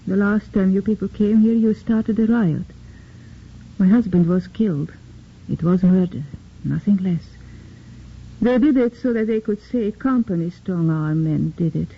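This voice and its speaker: A husky, guarded young widow. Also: female voice, mid-range voice, unhurried. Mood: bitter. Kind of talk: 1940s radio acting. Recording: tinny old radio recording.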